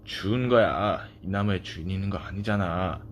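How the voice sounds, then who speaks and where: A man acts a wandering rat from a children's story. The voice is gentle and calm, quiet and unhurried, that of a wise traveller. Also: deep voice, a little hiss or hum, voice pushed down, close to an everyday voice. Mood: quietly wistful.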